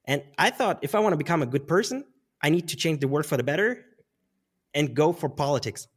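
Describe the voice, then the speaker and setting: Guy in his 30s, talks kinda high, rambles and laughs a lot. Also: animated, brisk. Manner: rambling, restarts, laughs often. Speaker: guest. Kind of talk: casual interview conversation.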